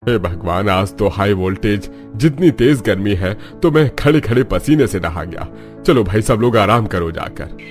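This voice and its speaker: A middle-aged family man from a cartoon tale, voiced by a male actor. He is mild and folksy, speaking in a lowish voice over faint music. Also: expressive, normal speed, lightly acted. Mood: weary.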